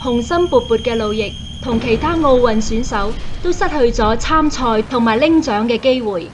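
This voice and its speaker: A woman's voice: a little high, normal speed. A Cantonese-accented woman reading scripted narration for a radio drama. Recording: clear background ambience noise.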